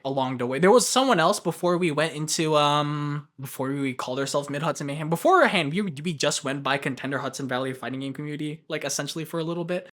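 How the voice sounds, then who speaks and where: Young man, from Japan, rambling interview energy, voice sits a little high. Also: fairly bright tone. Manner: loose, rambling, jokey. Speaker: tournament organizer. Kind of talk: documentary interview.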